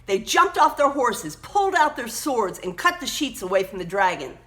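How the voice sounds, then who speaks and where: A woman storyteller reads to children in a high, bright voice with very lively swings of pitch. She is emphatic and expressive, stepping out of the tale to point out words and pictures in a teacherly way. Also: moderate pace. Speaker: read-aloud storyteller.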